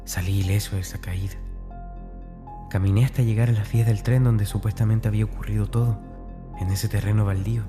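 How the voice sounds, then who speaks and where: A man playing a tormented, brooding young man in a confessional horror audio drama monologue, intense and breathy. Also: lowish voice, steady tone, brisk, over music, close to an everyday voice. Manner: intense, breathy, often dropping toward a whisper.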